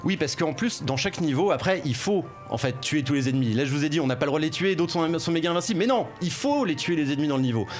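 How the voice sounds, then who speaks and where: Man, casual reviewer on a studio panel, rapid and rambling, middle pitch, faint music under the voice. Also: expressive. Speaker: game reviewer. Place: studio with headsets. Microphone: studio mic.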